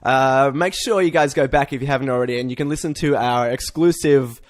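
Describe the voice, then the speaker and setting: A man's voice: medium pitch, fairly quick. Casual man hosting a joking podcast. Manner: jokey, sweary banter. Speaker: podcast host. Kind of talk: casual comedic pop culture podcast chat.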